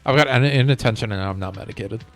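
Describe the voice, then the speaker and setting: A man's voice: mid-range voice, quick pace, fairly bright tone, faint music behind. A man chatting casually on a gaming live stream, rambling as he hops from one thought to the next. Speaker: game streamer.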